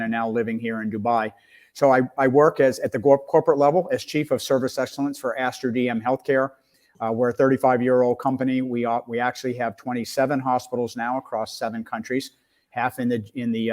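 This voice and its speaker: An American man in his sixties speaks as a professional panelist. His pitch is average and stays fairly flat, giving an even delivery as he presents his background. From United States. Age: 60s. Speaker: panelist, healthcare executive. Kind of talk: professional self-introduction.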